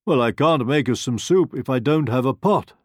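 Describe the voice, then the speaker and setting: The polite stranger at a fairy-tale cottage door, a sly wolf as a man reads him, deliberate and swooping in tone, heard close and dry. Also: mid-range voice, animated, darkish tone, no echo, voice pushed up, natural voice. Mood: coaxing. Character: sly, courteous big brown wolf, male, unhurried. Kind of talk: storybook character voice.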